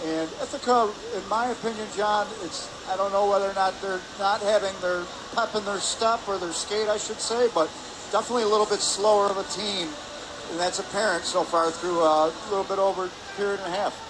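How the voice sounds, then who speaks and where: A man giving color commentary on a hockey game, offering stats and analysis in a conversational, slightly halting way. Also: sits high, fairly even intonation. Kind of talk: live sports analysis with statistics. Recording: clear arena noise behind voice. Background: ice rink ambience.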